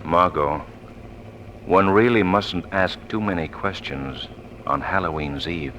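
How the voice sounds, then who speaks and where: An actor playing a suave, knowing gentleman sleuth in a 1940s radio drama, speaking in a measured, theatrical way on an old recording. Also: low-pitched, a little hiss or hum. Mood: urbane, composed.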